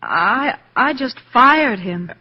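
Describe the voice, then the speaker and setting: A woman's voice: fairly high pitch, lively intonation, takes it slowly, husky, heavily acted. An actress playing an earnest, anxious professional woman, hesitant but emphatic, in 1940s radio drama style. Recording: dull, reverberant 1940s radio broadcast.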